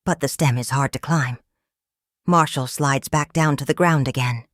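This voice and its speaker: A woman reading a children's story aloud in an expressive storytelling manner. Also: extremely low pitch, moderate pace, no room sound. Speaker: storybook reader. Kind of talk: scripted read-aloud narration of a children's story.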